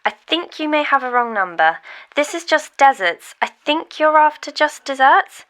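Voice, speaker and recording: Woman acting a perky female call-centre agent, bright, very lively intonation, sing-song, polite, audiobook character. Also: high-pitched, moderate pace, pitched above the performer's own voice. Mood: chirpy, polite. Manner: sing-song, chirpy, scripted customer-service politeness.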